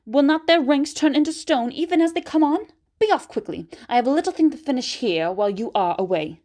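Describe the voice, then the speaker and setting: Woman reader as storybook witch queen: imperious, scornful, pitched up and loud. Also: lively intonation, a little fast. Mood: commanding. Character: cold, commanding female witch queen.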